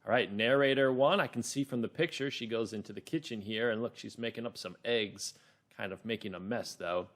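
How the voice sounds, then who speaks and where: A man's voice: mid-range voice, brisk, a bit bright. A man leading a read-along lesson for children, explaining things simply and expressively.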